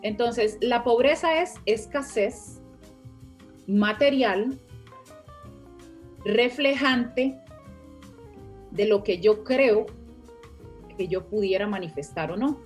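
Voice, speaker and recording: A woman explains her ideas step by step as the guest in an online conversation. Her voice sits a bit high, and soft music plays underneath. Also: slow, pauses often. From Costa Rica. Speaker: finance teacher and guest. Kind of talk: online interview, teaching.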